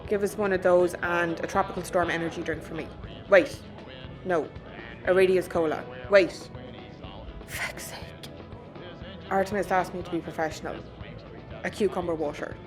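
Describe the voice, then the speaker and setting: A woman's voice: lowish voice, steady tone, moderate pace, long pauses, lightly acted. Woman playing a blunt spacefaring crew boss, music behind. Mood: irritated. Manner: sweary, no-nonsense. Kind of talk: audio drama acting. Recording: music under the voice.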